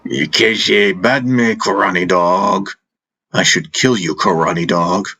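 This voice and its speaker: A man voicing a gruff mountain warrior in a fantasy audiobook, with halting, broken phrases and curt commands. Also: sits low, fairly even intonation, sharp tone, full character voice. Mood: menacing. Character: hostile mountain warrior, gruff, broken speech, later grudgingly helpful.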